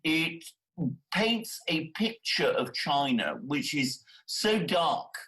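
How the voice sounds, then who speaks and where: Bright-voiced man, emphatic commentator. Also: on the high side, lively intonation, slow, poor audio. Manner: emphatic, repeats words as he argues. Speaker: panel commentator. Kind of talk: live political commentary.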